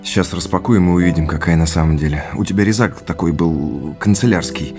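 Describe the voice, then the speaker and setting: Sincere heir, low voice, earnest short phrases, audio play, music behind. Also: male voice, brisk, darkish tone. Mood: calm, focused. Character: Oleg, sincere grateful heir to a family legacy. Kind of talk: audio drama acting.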